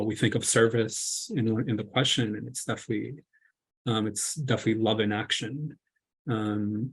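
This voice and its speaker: A man's voice: on the low side, slightly rough, low-quality recording. A man from Colombia speaking on a panel over a video call, hesitant and full of ums and restarts. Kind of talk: reflective panel talk.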